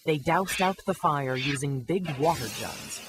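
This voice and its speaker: A woman narrating a rhyming children's story with an expressive, scripted delivery. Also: sits low, measured pace, background noise.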